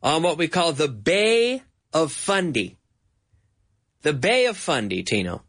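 A Canadian man giving a bilingual English lesson, speaking slowly and repeating words over and over so learners can catch the sounds. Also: fairly high pitch, sing-song, a bit bright. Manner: slow, repeats words for drilling. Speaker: English teacher.

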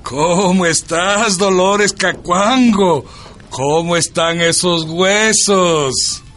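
An actor playing an earnest, aggrieved veteran doctor and party comrade in a radio drama, speaking emphatically with an Ecuadorian accent. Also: male voice, high voice, very slow, fairly bright tone, a little hiss or hum, exaggerated voice. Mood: affectionate.

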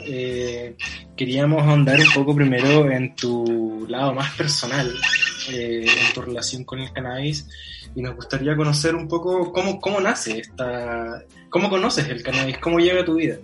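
A man co-hosting a casual podcast interview, asking questions in a calm, hesitant way. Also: medium pitch, moderate pace. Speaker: podcast co-host. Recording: distant mic over loud music.

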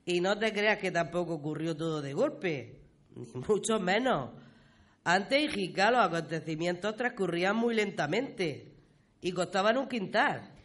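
A man's voice: sits high, expressive, unhurried, fairly bright tone. A man playing a patient local villager in a radio play, explaining things colloquially. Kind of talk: conversational radio play acting.

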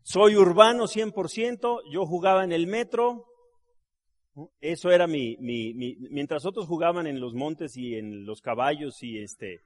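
Animated middle-aged Mexican man telling stories. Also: fairly high pitch, unhurried. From Mexico City. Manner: animated storyteller, jokes with the crowd, asks tag questions; trained actor and voice-over artist. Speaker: business seminar speaker. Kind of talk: live motivational talk to an audience.

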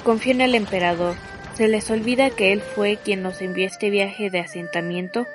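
A dutiful Chinese explorer played by a woman commands a fleet in a radio drama. The delivery is firm and earnest, at an ordinary mid pitch, with music clearly heard behind it. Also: fairly even intonation, moderate pace, lightly acted. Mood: firm, reassuring.

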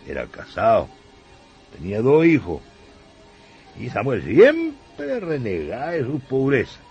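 A man playing a chatty, wry country storyteller with a Chilean accent, narrating a radio drama in colloquial, confiding style. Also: sits low.

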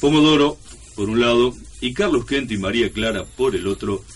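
A man giving a measured, mock-solemn scripted narration for a radio serial. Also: mid-range voice, animated, slightly rough.

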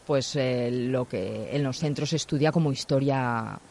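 A male radio interviewer with a Spanish accent from Spain speaks at a middle pitch. He builds his questions hesitantly, in long, wordy phrases. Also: a little slow, vocal fry, darkish tone, a little hiss or hum.

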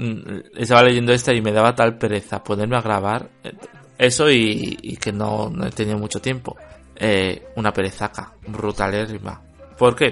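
A man with a Spanish accent from Spain talks through a book review, stumbling over fillers and restarts. His pitch sits in an ordinary middle range. He gets blunt and dismissive, and quiet music plays faintly behind him. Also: vocal fry. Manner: hesitant, full of fillers, bluntly critical. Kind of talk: casual spoken book review.

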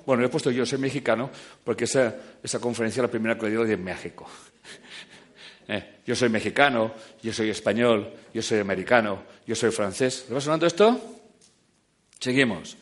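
Animated man lecturing an audience. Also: medium pitch. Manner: animated, joking, swings between loud and quiet. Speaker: speaker giving a talk.

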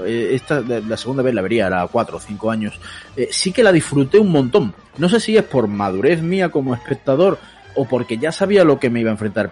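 A man's voice: mid-range voice, a little mellow. A man thinking aloud about a film in a casual chat, hesitating with fillers as he goes. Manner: reflective, hesitant, many fillers.

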